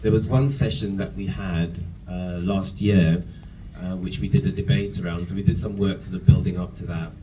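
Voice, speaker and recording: Man, low voice, measured mentor, distant mic, audible room noise. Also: fairly even intonation, warm tone. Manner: measured, with filler ums. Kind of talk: reflective talk to an audience. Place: room with an audience.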